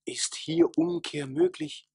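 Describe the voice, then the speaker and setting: Conscience-stricken townsman, brooding, creaky, very animated pitch, theatrical radio drama. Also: slow, slight background noise, exaggerated voice. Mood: brooding, self-reproachful. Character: troubled, self-doubting young townsman with a conscience. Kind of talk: stage-like radio play acting.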